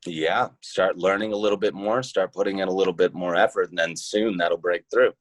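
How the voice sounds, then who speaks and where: A man's voice: low-pitched, steady tone, fairly quick, no room sound. A man speaking as an interview guest, casual and reflective, hesitantly thinking his answers through aloud. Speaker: podcast guest, show creator.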